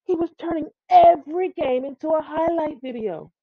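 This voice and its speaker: Female storybook reader, fairly high voice, expressive if a little stumbling, on a dry, muffled recording. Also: normal speed, no echo. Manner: expressive, with frequent stumbles over words. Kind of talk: read-aloud children's tall tale narration.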